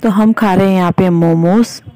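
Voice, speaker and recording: A woman's voice: lowish voice, steady tone, normal speed, warm tone, faint music behind. A woman narrating a casual vlog, explaining plainly what is being shown, like a tour guide. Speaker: vlogger narrating.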